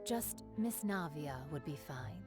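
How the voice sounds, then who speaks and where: Courteous, gentle mother. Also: fairly low pitch, expressive, a little slow, music in the background. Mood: grateful. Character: polite, grateful townswoman, a gently correcting mother. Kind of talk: video game character acting.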